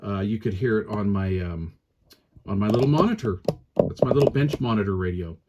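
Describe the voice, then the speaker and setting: A man's voice: on the low side, a little fast, heavy background noise. Folksy man giving a casual gear review. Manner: folksy, informal, colloquial. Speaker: product reviewer, channel host.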